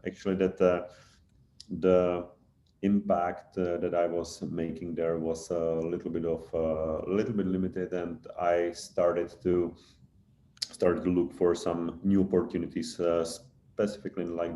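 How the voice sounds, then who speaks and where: Deep, flat-voiced Czech man on a call. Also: reverberant, a little hiss or hum. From Czech Republic. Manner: hesitant, many fillers and restarts. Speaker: guest speaker, engineer. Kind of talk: online info session talk. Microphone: video call.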